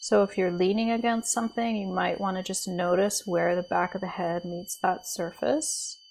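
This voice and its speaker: A woman leading a guided body scan meditation as a teacher, speaking in a gentle, soothing, unhurried way with pauses. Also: mid-range voice, fairly even intonation, vocal fry, slight background noise, rough recording.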